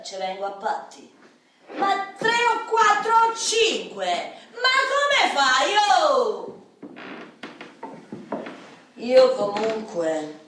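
A woman's voice: medium pitch, a bit bright, far from the mic. A woman playing a volatile, defiant wife in a radio drama, theatrical and edgy, with a Roman accent. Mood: bitter. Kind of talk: stage-style radio drama. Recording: echoey hall from afar, hissy.

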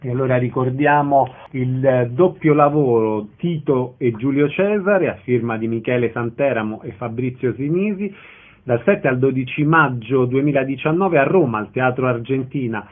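A man presents a cultural interview show on the radio. His voice sits at a middle pitch, and the sound is thin and narrow, like a small speaker. He introduces guests and puts short questions to them. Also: normal speed.

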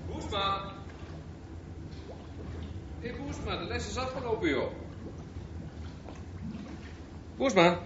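An actor in a Dutch radio drama playing an encouraging, plain-spoken swimming instructor who gives brisk instructions. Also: male voice, sits high, expressive, reverberant, highs cut off, background noise. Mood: casual, prodding.

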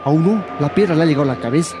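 Man acting an uneasy high-voiced teen follower. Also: lively intonation, racing, background noise, natural voice. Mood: remorseful. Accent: Bolivian. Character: uneasy teenage gang follower, easily swayed. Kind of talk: radio drama acting.

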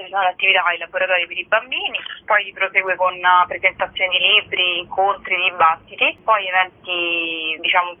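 A woman giving an informal interview as a festival organiser, speaking as a guest. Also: on the low side. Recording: tinny, narrow phone line.

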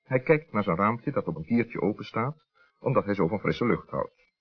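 A man with a husky voice and a Netherlands Dutch accent, narrating a scripted children's story. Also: on the low side, animated, moderate pace. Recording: muffled vintage radio recording.

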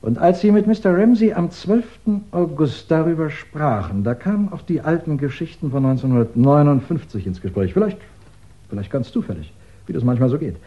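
A shrewd, courteous police chief played by a man in an old radio crime play, speaking in a fairly high male voice. He probes with ironic politeness and musing pauses, and the 1960s recording sounds dull. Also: expressive, close to an everyday voice. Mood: musing, triumphant. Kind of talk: 1960s German radio crime drama acting.

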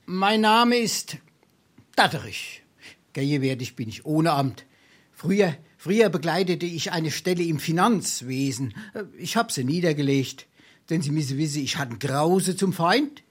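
An actor playing a boastful, down-at-heel tippler in a stage comedy, grandiloquent and halting, in a Darmstadt Hessian accent. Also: male voice, fairly high pitch, animated. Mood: ingratiating, self-important. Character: boastful, down-at-heel male tippler spinning tall tales. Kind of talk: theatrical dialect comedy acting.

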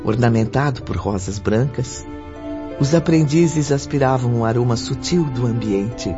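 A Brazilian actor playing the narrator of a spiritual radio drama, formal and literary in delivery. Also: male voice, mid-range voice, unhurried, lightly acted. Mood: formal, descriptive. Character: storytelling narrator of a spiritual tale. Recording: dull recording with prominent music behind. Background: music.

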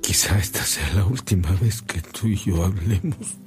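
An actor playing an ailing, devout old Mexican bishop in a radio drama, solemn and deliberate, with a Mexican accent. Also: male voice, deep voice, a little mellow, soft background music, natural voice. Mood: weary, urgent.

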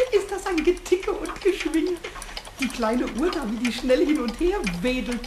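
An actor playing a cheeky, bossy, childlike male kobold, chattering and giggly, in a German children's radio play. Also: sits high. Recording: noisy old radio play with ticking clocks.

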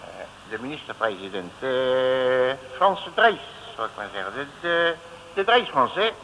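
An actor playing a cocky, slangy working-class detective in a 1950s Dutch radio comedy serial. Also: male voice, mid-range voice, expressive, measured pace, pushed and bright. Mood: self-important. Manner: slangy, blunt, self-assured. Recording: noisy old radio recording.

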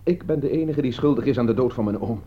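A man's voice: mid-range voice, husky, lightly acted. A man acting an earnest, remorseful murder suspect who insists on taking the blame in a Dutch radio play. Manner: hurried, earnest, confessing. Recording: dull, lo-fi radio play recording.

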